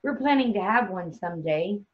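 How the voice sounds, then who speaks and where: A woman's voice: medium pitch, lively intonation, brisk, close to an everyday voice. A woman playing a sweet, anxious, hopeful young wife in a play reading, on a dull online recording. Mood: tearful, hopeful. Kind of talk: play table read. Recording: dull lo-fi online call sound.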